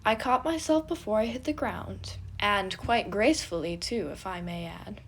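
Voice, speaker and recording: Woman playing a loud, boastful young prince. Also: mid-range voice, moderate pace, slight background noise, close to an everyday voice. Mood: defensive, proud. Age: teenage. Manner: grandiose, exclamatory. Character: bold theatrical young prince, boastful and dramatic.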